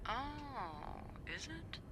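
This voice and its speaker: Wry, bright-voiced, lively receptionist. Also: female voice, high-pitched, very slow, heavily acted. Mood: sly, casual. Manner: wry, teasing, expressive. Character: sardonic, playful young female hotel receptionist in 1950s mystery game. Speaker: hotel receptionist. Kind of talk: conversational video game acting.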